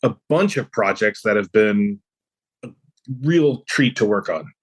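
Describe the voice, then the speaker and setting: A man's voice: lowish voice, expressive, unhurried, slightly rough. An American man from Los Angeles talking casually as a guest in an interview. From Los Angeles, USA. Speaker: podcast guest, composer.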